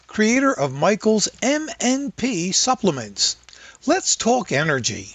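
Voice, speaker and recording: A man's voice: sits high, fairly bright tone, no echo. A man who owns a business reading a scripted radio advertisement for his supplements.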